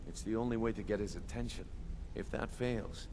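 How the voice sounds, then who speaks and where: A man's voice: on the low side, normal speed, background noise, lightly acted. An actor playing a seasoned, wry and conspiratorial thieves' guild man in a fantasy video game. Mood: wry, advising.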